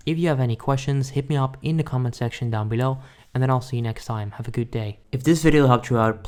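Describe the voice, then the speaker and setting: A man's voice: mid-range voice, a little mellow, clean tone. Casual male tutorial host. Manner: informal, instructional, addresses viewers directly. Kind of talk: casual software tutorial narration.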